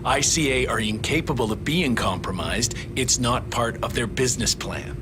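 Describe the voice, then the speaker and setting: A man's voice: medium pitch, normal speed, over music, lightly acted. A man playing a cocky, talkative agent and killer in a game, with a smug, theatrical manner. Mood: taunting. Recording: clear background noise.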